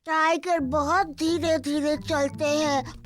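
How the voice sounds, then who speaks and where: Very high, squeaky cartoon piglet girl. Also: steady tone, slow. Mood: eager, playful. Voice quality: small, squeaky child voice. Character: cheerful, curious little girl piglet and animal playmates. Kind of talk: children's cartoon dub.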